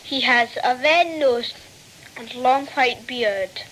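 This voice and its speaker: Female voice, slow and simple, childlike wording, bright tone, faint noise behind. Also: high-pitched, poor audio.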